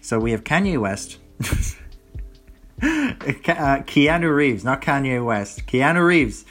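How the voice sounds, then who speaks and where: Young male podcast host, hoarse, chatty and rambling, mid-range voice, light music bed. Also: medium pitch, very animated, takes it slowly, a bit bright. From Ireland. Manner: rambling, self-correcting, chatty. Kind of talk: casual solo podcast chat.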